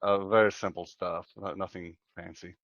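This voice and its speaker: A man from Chile in his thirties, talking in a casual interview as the guest, soft-spoken and hesitant with many fillers. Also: deep voice, little pitch movement, normal speed, no echo, lo-fi sound. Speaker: guest computer scientist.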